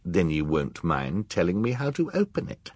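A man's voice: low-pitched, sing-song, normal speed, darkish tone, breathy edge, no echo, full character voice. A man voicing a vain, bullying male villain in a storybook, growling, snarling and impatient. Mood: menacing, sneering.

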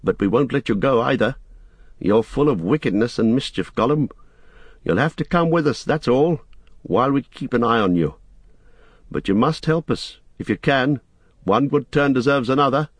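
A man voicing a calm, stern traveller who speaks with quiet, measured authority in an audiobook. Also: medium pitch, husky, dry recording, pitched above the performer's own voice. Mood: firm.

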